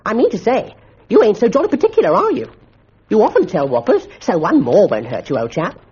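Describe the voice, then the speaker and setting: Squeaky stammering fat schoolboy, greedy and boastful, pitch jumping wildly; dull husky recording. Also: male voice, huge pitch swings, a little fast, darkish tone, exaggerated voice. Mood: wheedling.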